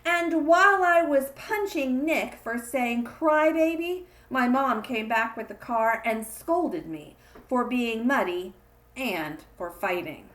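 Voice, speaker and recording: A woman reading a children's picture book aloud, with expressive emphasis on the repeated refrain. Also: a little high, slow pace, roomy sound. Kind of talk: read-aloud storytelling for kids.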